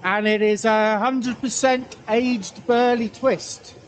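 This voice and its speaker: A man's voice: very high-pitched, steady tone, slow. A middle-aged man chatting casually for an outdoor vlog, rambling hesitantly and correcting himself as he goes. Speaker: pipe-smoking vlogger. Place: outdoors in a wooded valley. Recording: outdoor ambient noise, camera at a distance.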